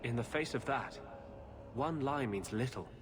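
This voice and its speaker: An actor playing an intense, secretive rebel mage in a video game, speaking gravely and insistently. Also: male voice, medium pitch, measured pace, background noise, natural voice. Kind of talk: dramatic video game acting.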